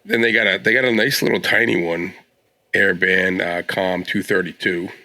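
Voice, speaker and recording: A man's voice: low voice, fairly even intonation, moderate pace, sharp tone, no echo. A man on a casual panel chat, blunt and questioning, with a salty tongue. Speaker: podcast panelist.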